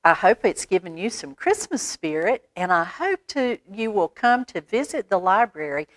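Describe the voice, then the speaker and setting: A woman's voice: medium pitch, sing-song, normal speed, dry recording, studio quality. An American woman reading a children's picture book aloud, drawing words out expressively and adding little explanatory asides for young listeners. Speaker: library storytime reader.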